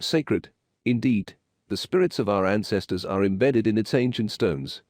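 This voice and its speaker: Stately low-voiced alien priest, played by a man. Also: darkish tone, no echo, studio quality, close to an everyday voice. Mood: reverent. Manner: stately, ceremonious, deliberate. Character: dignified alien high priest, grave, wise and mystical.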